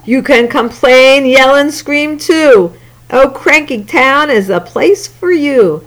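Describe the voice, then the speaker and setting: An excitable male town mayor, put on by a woman, booming and sing-song. The voice jumps up high and lively as he welcomes and teases. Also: slow pace. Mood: cheerful.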